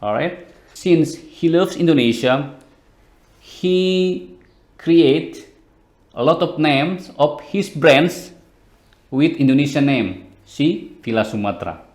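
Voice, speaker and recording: A man hosting a podcast interview, asking questions in hesitant, halting phrasing. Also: a little high, animated, a little mellow. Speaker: podcast host.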